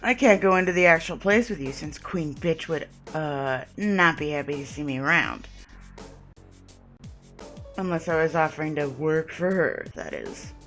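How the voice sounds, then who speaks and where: Relaxed smooth-talking male vampire, low and unhurried, read by a woman. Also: soft background music, full character voice. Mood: easygoing.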